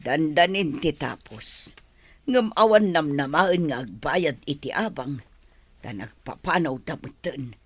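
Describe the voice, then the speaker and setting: A woman's voice: low-pitched, lively intonation, measured pace, breathy edge, no echo, full character voice. A woman playing an exasperated, nagging older mother in an audio drama, complaining and scolding about money. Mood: weary, worried. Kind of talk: dramatised dialogue in a serial love story.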